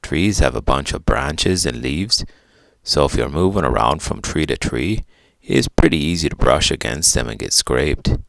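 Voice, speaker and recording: Casting: man to play an unhurried chimp sidekick for kids' storytime, very deep and gravelly, matter-of-fact explainer. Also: expressive, fairly bright tone, dry recording, full character voice. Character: laid-back talking chimpanzee with fur, explaining things.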